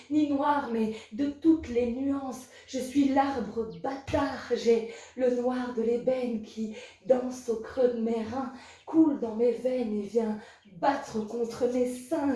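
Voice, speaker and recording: A woman from Belgium reciting spoken-word poetry as a slam poet, in a measured, rhythmic, incantatory way. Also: high-pitched, mellow. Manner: measured, rhythmic, incantatory delivery with repeated refrains. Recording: very echoey, distant from the mic.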